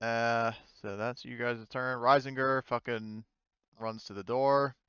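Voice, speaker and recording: A man acts as game master for a tabletop roleplaying session. His voice is clear and mid-pitched, recorded dry and a little muffled. He talks casually, feeling his way through rulings and swearing freely. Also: little pitch movement, unhurried, fairly bright tone, dry recording.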